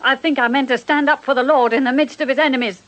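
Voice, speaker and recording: A woman's voice: sits high, fairly even intonation, fast talker, fairly bright tone, lo-fi sound. A woman playing an elderly housekeeper and former nanny in a radio drama, devout, bitter and grieving, with fervent righteousness and a servant's deference. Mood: confiding. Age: older.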